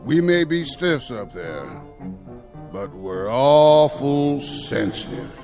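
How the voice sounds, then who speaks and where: A gruff, gravelly old-west outlaw's ghost, drawling slowly with a lively, swinging pitch. He talks in frontier slang, and music plays behind him in this radio drama. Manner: slow drawling frontier speech.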